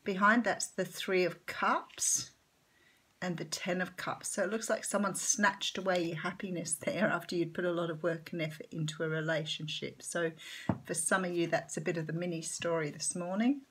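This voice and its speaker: A woman with an Australian accent giving a chatty tarot reading, her voice a little croaky this morning, with lots of ums. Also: lowish voice, fairly even intonation, normal speed. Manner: chatty, warm-hearted, rambling with many ums. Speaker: tarot reader. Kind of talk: casual tarot reading vlog.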